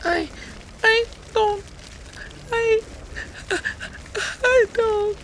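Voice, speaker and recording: A man voicing a terrified young girl from a visual novel, in a halting, wavering falsetto, with some background noise. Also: a bit bright, heavily acted, low-quality recording. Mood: frightened, pleading. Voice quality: squeaky falsetto.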